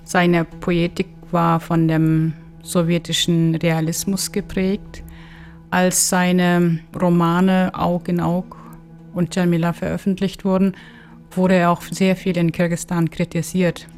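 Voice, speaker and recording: A woman from Kyrgyzstan, giving halting interview answers as an expert. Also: fairly low pitch, flat delivery, a little mellow, faint music behind. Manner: halting, hesitant delivery. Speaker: interviewed expert, legal anthropologist.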